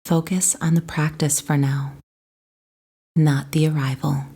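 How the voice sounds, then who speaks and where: A woman narrating a scripted guided meditation in a soothing, gentle, unhurried way. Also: sits low, little pitch movement, husky.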